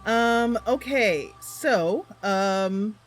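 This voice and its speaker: A woman hosts a gaming livestream in a casual, effusive way. Her pitch moves around a lot as she chats to viewers. Soft music plays behind her. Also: animated, extremely slow. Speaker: livestream host.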